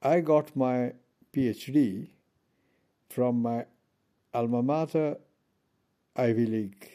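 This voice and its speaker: A man from India giving a pointed, measured speech from a conference podium. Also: mid-range voice, warm tone. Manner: measured, pointed, with pauses between phrases. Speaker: conference speaker.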